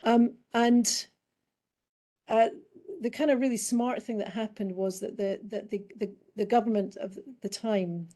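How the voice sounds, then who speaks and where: A middle-aged woman with a British accent, warm and mellow in tone, answers as a panel guest. She talks in a thoughtful, halting way, restarting phrases as she works out her point. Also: mid-range voice, steady tone. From United Kingdom. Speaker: guest expert in public health.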